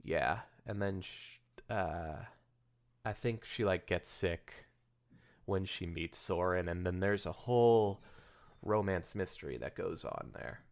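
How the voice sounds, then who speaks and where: A man's voice: low voice, takes it slowly. A man hosting a casual comedy podcast, joking and rambling with some swearing. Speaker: podcast host.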